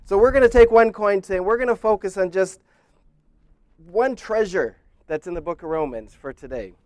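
High-voiced man preaching through stories. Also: fairly quick, no echo. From grew up in Indonesia. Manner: conversational, animated storyteller who acts out his own thoughts. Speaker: preacher, former missionary. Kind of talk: sermon with personal storytelling.

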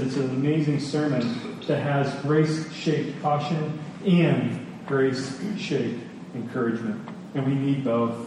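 A male preacher delivers an earnest sermon in a fairly high, soft-edged mellow voice. He leans on key phrases and repeats them for emphasis. The sound is heavily reverberant, as if in a large hall. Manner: earnest, emphatic, with deliberate repetition for weight. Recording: echoey hall, distant, faint noise.

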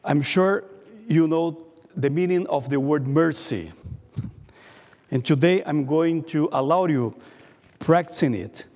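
A man preaching a sermon in English with a Brazilian Portuguese accent, speaking carefully and pausing often as he reads from his notes. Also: fairly high pitch, darkish tone. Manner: careful, halting delivery, reading from notes. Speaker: guest preacher. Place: church sanctuary. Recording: long reverb of a church hall.

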